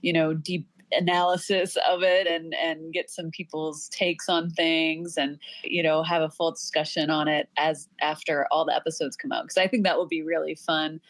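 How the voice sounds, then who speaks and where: The low-voiced woman who runs an online creators' chat room, heard up close and dry. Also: flat delivery, normal speed, hardly any pauses, dry recording. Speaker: podcast host. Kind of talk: casual interview discussion.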